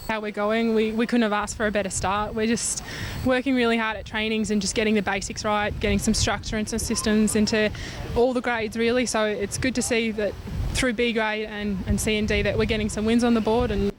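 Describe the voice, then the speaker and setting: A woman's voice: medium pitch, little pitch movement, fairly quick. A young woman with an Australian accent giving a casual post-match interview as a netball player. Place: outdoors at a sports reserve. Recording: outdoor background noise.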